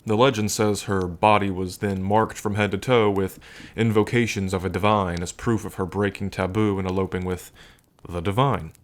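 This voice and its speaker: A man playing an affectionate, wry and regal dragon king in a soft, unhurried ASMR roleplay monologue. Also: low voice, steady tone, lightly acted. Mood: solemn, explaining. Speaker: roleplay character performer.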